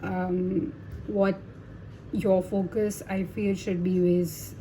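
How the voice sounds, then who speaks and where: A woman's voice: lowish voice, flat delivery, extremely slow, dark tone, a little hiss or hum, low-quality recording. Chatty woman giving a tarot reading. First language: Hindi. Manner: chatty, reassuring, many fillers and tag questions. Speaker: tarot reader. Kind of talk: casual tarot reading to viewers.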